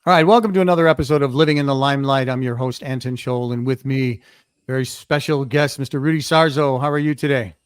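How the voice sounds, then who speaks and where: A man's voice: medium pitch, fast, clear, resonant tone, no echo, studio quality. A man hosting a podcast in a casual, chatty interview style. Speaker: podcast host.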